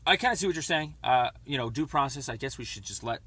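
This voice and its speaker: A man calling to make a complaint, explaining at length in a rambling, halting, stammering way over the phone. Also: mid-range voice, expressive, a crowd in the background. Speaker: caller making a complaint. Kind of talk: phone conversation. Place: on a phone call.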